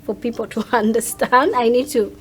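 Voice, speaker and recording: A woman's voice: a little high, fairly even intonation, normal speed. A woman with a Ugandan accent speaking casually as an interview guest, explaining things by acting out what others say. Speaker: interview guest, social media content creator.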